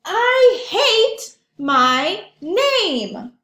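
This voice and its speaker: A woman's voice: very high voice, very animated, drawn-out words, pushed and bright, pitched above the performer's own voice, heavily acted. A woman reading aloud as a spirited young boy in a storybook, earnest and emphatic. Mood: frustrated, determined. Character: spirited young boy longing for his own name. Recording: echoey room.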